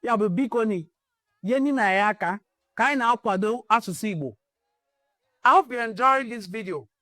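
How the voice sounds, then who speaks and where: A woman's voice: a little high, expressive, brisk, fairly bright tone, no room sound, studio quality. A Nigerian woman hosting a cultural channel, delivering an impassioned address built on repeated rallying calls. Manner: rallying, repetitive exhortations.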